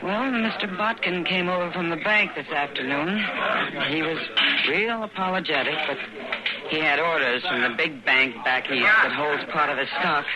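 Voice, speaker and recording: An actress playing a worldly, regretful American saloon owner in a 1950s radio western, frank and conversational. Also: female voice, sits low, moderate pace, close to an everyday voice, low-quality recording. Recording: old radio, loud saloon crowd behind.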